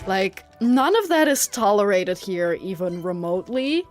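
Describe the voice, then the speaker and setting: A woman's voice: medium pitch, big pitch swings, unhurried, faint music behind. A young woman from the Netherlands, a native Dutch speaker, chatting casually and rambling with many fillers while streaming a game, often breaking into giggles. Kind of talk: casual chat while gaming.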